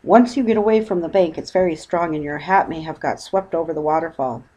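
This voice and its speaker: A woman's voice: sits low, a little fast, a little mellow, poor audio. An unflappable working-class boatman , acted by a woman reader. He talks in a dry, colloquial, matter-of-fact way, as a storybook character.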